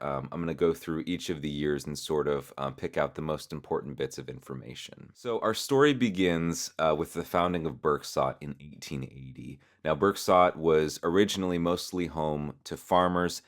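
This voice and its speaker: A man with a strikingly deep, bottom-register voice hosts an explainer. He narrates in a measured, explanatory manner, moving between scripted delivery and looser commentary. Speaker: host explaining a horror web series.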